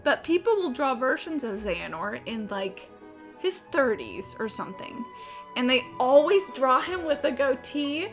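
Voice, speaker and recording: A woman's voice: high voice, very animated, unhurried, soft background music. A woman livestreaming a game with casual, blunt, sweary, opinionated commentary.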